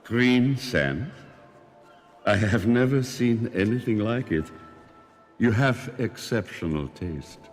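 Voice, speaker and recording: An aged, mysterious mind-reader in an audio drama, speaking in a low voice with a foreign accent. He talks in slow, formal, measured phrases. His voice hangs in a long reverb, as if it sounds inside a head. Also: expressive, faint music behind. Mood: gentle. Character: old mysterious telepathic man, calm and sorrowful.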